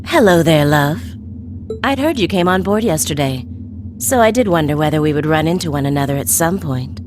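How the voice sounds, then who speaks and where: Coy, teasing, low-voiced woman villain. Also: lively intonation, fairly quick, music in the background. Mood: genteel, playful. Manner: coy, teasing, sing-song. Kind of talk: video game voice acting.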